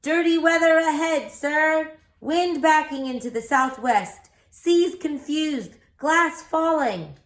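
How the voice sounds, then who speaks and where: A woman voicing an eager, plucky little male mouse sailor with crisp nautical exclamations in a storybook reading. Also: high voice, slow pace, few pauses, reverberant, voice pushed up. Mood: urgent.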